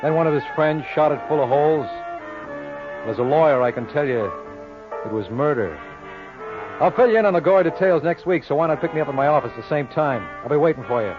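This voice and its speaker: An actor playing a brash, cocky lawyer sleuth in a 1950s radio drama, glib and sardonic, needling everyone. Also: male voice, fairly high pitch, lively intonation, brisk, lightly acted. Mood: wry. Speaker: lead actor of the series. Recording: muffled old radio recording, faint music.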